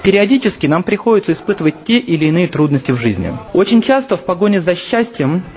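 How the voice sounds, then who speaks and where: Brief: earnest thirties male lecturer, fairly high voice, muffled lo-fi take with faint room ambience. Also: very animated, a little mellow, a little hiss or hum. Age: 30s. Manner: earnest, measured and personal. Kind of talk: recorded spiritual lecture. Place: seated in a temple. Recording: dull lo-fi recording with faint ambience.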